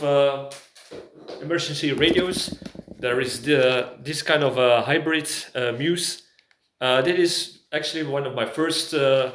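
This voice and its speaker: A man's voice: fairly high pitch, fairly bright tone, slight background noise. An English-speaking man gives a casual product review, hesitantly searching for words. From Belgium. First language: not English. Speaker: prepper video host. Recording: echoey room.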